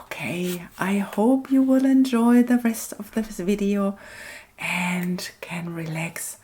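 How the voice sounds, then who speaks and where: A woman gently narrating a craft tutorial as host, unhurried and reflective, often trailing off toward a near-whisper. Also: mid-range voice. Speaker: host of an art collage channel.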